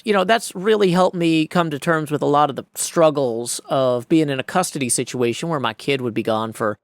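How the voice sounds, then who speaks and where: A man's voice: mid-range voice, no echo, studio quality. An American man of forty talking casually and reflectively in a personal vlog, with folksy phrasing and rambling asides. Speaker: video creator and host.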